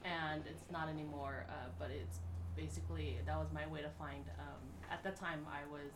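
A woman's voice: deep voice, steady tone, normal speed, noisy background. A woman in her late thirties on a casual podcast, hesitant and laid back with many "um"s. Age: 30s. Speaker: co-host. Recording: echoey room.

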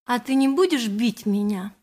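An actress playing a simple, thoughtful milliner in a radio play, narrating and talking in an ingenuous, musing way. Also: female voice, on the high side, animated, moderate pace, a little mellow. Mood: coy, insistent.

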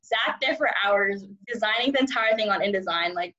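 A woman's voice: mid-range voice, a little fast, pushed and bright. Young woman, twenties, first language Cantonese; bubbly, rambling interview guest, slightly muffled lo-fi audio. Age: 20s. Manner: rambling, upbeat, laughs often, many "like" fillers. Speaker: journalism student guest. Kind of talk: casual interview chat.

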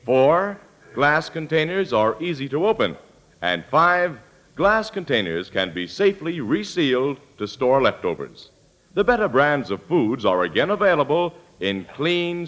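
A man's voice: mid-range voice, fairly bright tone. An American man working as a radio announcer, reading scripted copy formally and emphatically in 1940s style. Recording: vintage radio broadcast recording.

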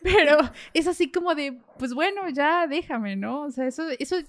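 A woman's voice: sits high, animated, moderate pace. A woman with a Mexican accent hosting a podcast, chatting casually and colloquially as she tells stories and asks questions. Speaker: podcast host. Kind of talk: casual chat.

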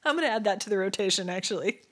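A woman's voice: medium pitch, expressive, rapid-fire, a bit bright. A woman co-hosting a casual podcast, giggling often and reading listener texts aloud. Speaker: podcast co-host. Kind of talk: casual chat.

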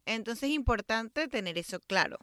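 A woman's voice: on the high side, expressive, normal speed, fairly bright tone, no room sound, studio quality. A woman co-hosting a casual podcast, explaining things emphatically and dropping in the odd English word. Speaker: podcast co-host. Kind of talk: casual conversational podcast talk.

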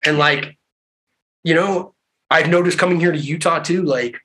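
A man talking casually on a video call, rambling from one thing to the next and cursing freely. His voice sits a bit high for a man and sounds bright and forceful, and he laughs often. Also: moderate pace. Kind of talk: casual chat.

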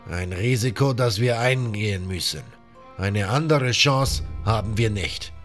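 A man's voice: lowish voice, unhurried, a bit bright. A man plays a water mage leader in a fantasy audio story. He sounds grave and solemn, commanding with urgency over background music. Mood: urgent, commanding.

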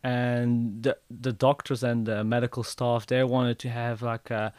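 A man's voice: mid-range voice, level tone, unhurried, a little mellow, no room sound. A man in his thirties from Belgium with a Flemish accent, speaking English as a second language, talking casually with frequent fillers and restarts. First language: Dutch (Flemish). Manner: hesitant, many restarts and fillers. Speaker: guest, motorbike traveler. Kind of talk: casual conversation.

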